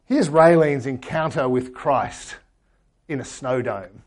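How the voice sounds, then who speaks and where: A hoarse man speaking to a gathering in an earnest, steady way. His voice sits at an ordinary pitch, and his sentences tend to fade off quietly. He sounds a little worn and croaky throughout. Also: takes it slowly. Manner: earnest, often trailing off quietly. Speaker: speaker at a church talk. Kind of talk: talk with book reading.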